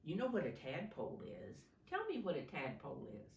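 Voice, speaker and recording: A woman's voice: deep voice, wildly swooping pitch, fairly quick, a little mellow, slight background noise. A woman preschool teacher reading a story aloud to young children, explaining in simple words and asking them questions. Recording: echoey room, slightly distant microphone.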